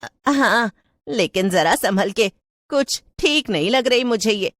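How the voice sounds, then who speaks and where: A woman's voice: fairly high pitch, expressive, unhurried, a bit bright, no echo, clean studio sound, voice pushed up. A woman voicing an anxious, wary housewife who is easily frightened, in a cartoon horror story. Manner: worried, fretful.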